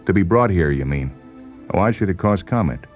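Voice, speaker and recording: A man voicing a defensive, suspicious psychiatric patient with an insistent, halting delivery in a radio story reading. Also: deep voice, lively intonation. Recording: voice over background music.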